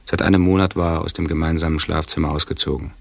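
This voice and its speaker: A man reading a crime novel aloud in a calm, measured way, gravelly voice. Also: rumbling low, no room sound, heavily acted. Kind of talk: archive audiobook reading.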